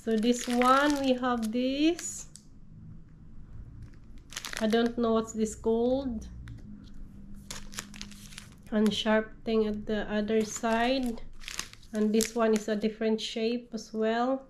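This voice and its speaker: A woman's voice: on the high side, flat delivery, slow, long pauses, a little hiss or hum. A woman in her thirties with a cold, gushing over items she unboxes in her living room. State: has a cold. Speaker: haul vlogger. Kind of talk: casual product haul. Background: crinkling packaging.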